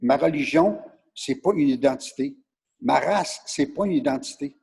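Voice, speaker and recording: A man with a mellow, husky voice and a Quebec French accent speaks as a guest on a discussion podcast. He is emphatic and insistent, haltingly repeating words as he states his opinions. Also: medium pitch.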